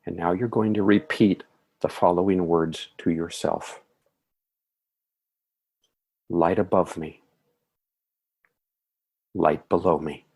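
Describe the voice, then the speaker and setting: Deep, slow, husky male meditation guide. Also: a little mellow. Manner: slow, soothing, measured phrasing.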